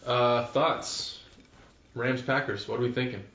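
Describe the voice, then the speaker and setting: A man's voice: medium pitch, steady tone, measured pace, gravelly, fairly bright tone. A man hosting a casual sports podcast, laid-back and crude in his joking ad reads. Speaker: podcast host. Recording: echoey room, dull lo-fi sound, faint hiss.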